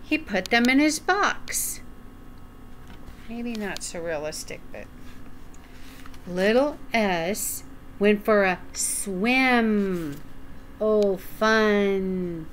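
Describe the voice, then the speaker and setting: An older woman reading a children's picture book aloud at home, enunciating deliberately and putting questions to the young listener. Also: mid-range voice, expressive, background noise. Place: small room at home, indoors.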